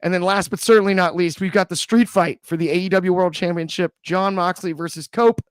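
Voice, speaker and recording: A man hosting a casual wrestling podcast, jokey and quick to laugh as he steers the talk. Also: sits high, fairly even intonation, clean tone, dry recording. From US Midwest. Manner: jokey, laughs often, leads the segments. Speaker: podcast host. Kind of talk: casual chat.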